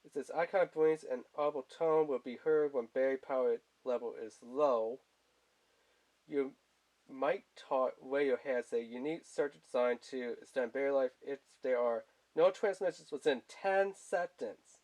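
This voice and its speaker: A man's voice: mid-range voice, moderate pace, darkish tone. A man giving a casual, rambling product review in informal, colloquial language, speaking off screen indoors. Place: indoors at a tabletop.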